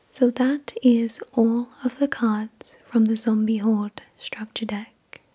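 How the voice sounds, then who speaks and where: A woman whispering softly and breathily in ASMR style, reading aloud in a deliberate, measured way. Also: on the high side, fairly even intonation, husky. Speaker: ASMR video creator and host. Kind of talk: soft-spoken ASMR, reading card text aloud.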